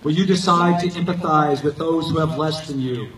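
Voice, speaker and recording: An older man giving a prepared graduation speech as a coach and teacher, speaking earnestly in measured, declarative phrases. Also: on the high side, fairly bright tone. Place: outdoor football stadium, recorded from the stands. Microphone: podium microphone over PA, captured by distant handheld camera. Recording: echoey stadium PA heard from far off.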